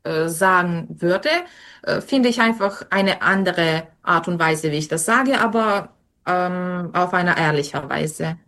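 A young woman, not a native speaker, answering interview questions slowly with many fillers and restarts. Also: lowish voice, fairly bright tone. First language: not German. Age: young adult.

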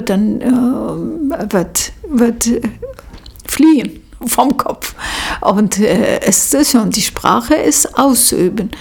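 A middle-aged woman, a native Arabic speaker, recounting her experience in accented, halting speech as she searches for words. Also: medium pitch, creaky, a little mellow, husky. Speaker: social worker telling her experience. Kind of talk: spontaneous interview account.

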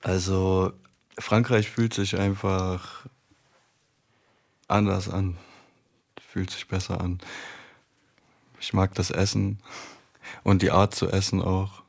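Low-voiced, laconic young rapper. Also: male voice, slow, gravelly, long pauses. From Germany. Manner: laconic, short relaxed answers. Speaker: guest, rapper. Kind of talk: radio interview.

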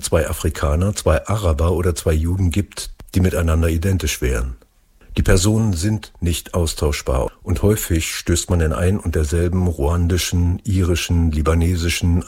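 A man reading an essay excerpt aloud in a measured, deliberate way, with a husky voice. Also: deep voice, darkish tone.

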